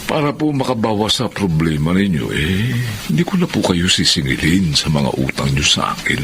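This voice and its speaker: An actor playing a calculating moneylender and drug pusher in a Filipino melodrama, falsely polite and insinuating, with a Filipino accent. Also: male voice, low voice, sing-song, normal speed, fairly bright tone, slight background noise, exaggerated voice. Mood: sly, tempting. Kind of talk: Filipino radio-style melodrama acting.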